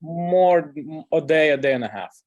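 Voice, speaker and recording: A man's voice: a little high, expressive, normal speed, a little mellow, dry recording, highs cut off. A male physician casually presenting a case, hesitant and colloquial in his wording. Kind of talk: casual medical case discussion.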